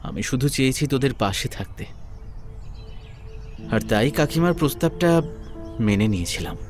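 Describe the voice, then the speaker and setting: A man's voice: medium pitch, lively intonation, close to an everyday voice. A man acting an earnest, lovelorn young man. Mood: earnest, pleading. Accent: Kolkata Bengali. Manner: earnest, wistful, with dramatic pauses. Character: lovelorn, self-sacrificing young man devoted to his friend. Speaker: narrator and lead actor in an audio story. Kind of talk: dramatic first-person audio story narration and dialogue. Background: background music.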